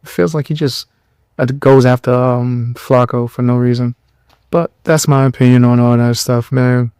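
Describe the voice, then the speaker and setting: A tired young man hosting a podcast, giving blunt, mocking commentary in loose slang with a low voice. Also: steady tone, normal speed, a little mellow, clean tone, dry recording, studio quality. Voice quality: lower voice. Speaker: podcast host. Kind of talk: casual commentary.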